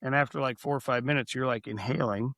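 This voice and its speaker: Hoarse male co-host, gravelly and rambling, easygoing podcast talk. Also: mid-range voice, fast, fairly bright tone, no room sound, studio quality. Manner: rambling, with many restarts. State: hoarse, recovering from lost voice. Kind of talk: casual podcast chat.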